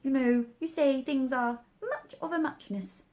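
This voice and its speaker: A woman's voice: high voice, unhurried, a little mellow, voice pushed up. A woman voicing a sleepy, sulky little dormouse from a storybook, drowsy and huffy. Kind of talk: storybook character voice.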